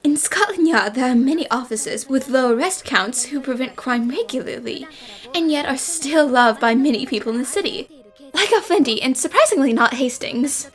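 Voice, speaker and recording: A woman voicing a confident, cheeky young female detective in an anime fan dub, brisk, smug and theatrical, with music underneath. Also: high-pitched, animated. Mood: stern, teasing.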